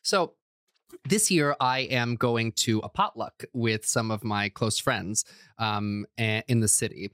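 A man's voice: lowish voice, a bit bright, no echo, clean studio sound. Joking man, casual chat. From Croatia. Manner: joking, self-deprecating, quick asides with frequent laughs. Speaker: podcast co-host.

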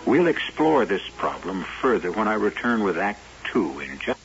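A man's voice: fairly low pitch, sing-song, moderate pace, gravelly, heavy background noise. A man introducing a radio mystery drama with dry, theatrical wit. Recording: dull old radio broadcast with music.

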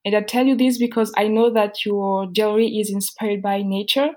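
A woman hosting a conversation, asking questions in a relaxed, conversational way. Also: medium pitch, moderate pace. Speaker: podcast host. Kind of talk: interview questions.

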